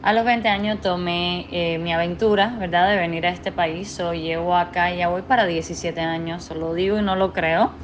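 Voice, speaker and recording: A woman's voice: fairly low pitch, steady tone, moderate pace, few pauses, dull audio, a little hiss or hum. A Cuban woman in her thirties with a Cuban accent, a podcast guest speaking earnestly and dropping in English "so" now and then. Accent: Cuban Spanish. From Cuba. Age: 30s. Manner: earnest, drops English fillers like "so". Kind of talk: podcast interview. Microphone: remote call.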